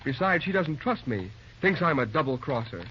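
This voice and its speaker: An actor playing an earnest, proud young American railroad assistant in an old-time radio drama. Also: male voice, on the high side, big pitch swings, normal speed. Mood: piqued, defensive. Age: young adult. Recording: muffled, noisy old radio recording.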